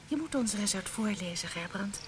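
A woman's voice: mid-range voice, animated, fairly quick, natural voice. An actress playing a frank, devoted young woman in a radio play, teasing and affectionate. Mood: teasing, fond. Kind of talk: Dutch radio play acting. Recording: noisy old radio play recording.

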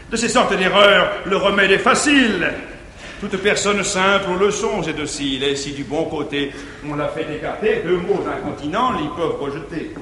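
A man playing a jealous, domineering middle-aged guardian, declaiming verse with loud outbursts and muttered asides. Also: on the high side. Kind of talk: 1950s stage verse acting. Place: large theatre stage. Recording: echoey 1959 stage recording with faint hiss.

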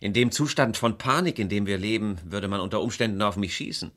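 A man's voice: lowish voice, fairly quick, a bit bright, natural voice. An actor playing a cautious, apprehensive man in a 1970s German crime radio play.

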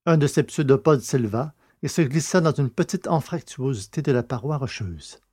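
A man's voice: medium pitch, lively intonation, moderate pace, dark tone, breathy edge. A man presenting a podcast and narrating a science fiction serial in carefully phrased, literary read speech. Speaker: podcast host and audiobook narrator.

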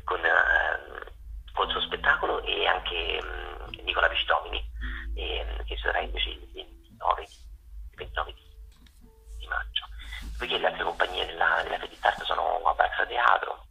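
Deep, very gravelly man on the phone. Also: steady tone, bright, forceful tone, in a whisper. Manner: halting, searching for words. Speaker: theatre festival organiser, radio guest. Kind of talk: informal radio interview. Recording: noisy telephone line.

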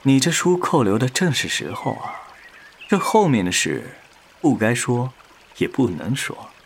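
A man's voice: mid-range voice, very animated, measured pace, gravelly, slight background noise. A man playing a proud, roguish male demon, devoted and teasing, with dramatic swaggering delivery in an audio drama. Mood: sly, teasing. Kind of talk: Chinese audio drama acting.

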